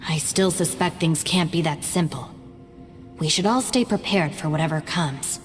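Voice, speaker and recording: A woman playing a composed, serious and cautious game character who speaks in a measured, formal manner. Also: low voice, in a large hall, over music, natural voice. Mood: wary. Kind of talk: video game voice acting.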